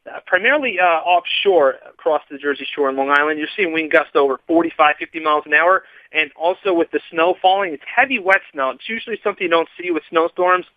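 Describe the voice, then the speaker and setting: A man delivering radio weather forecasts as a meteorologist, with brisk, upbeat forecaster patter. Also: a little high, dry recording, narrow, tinny audio.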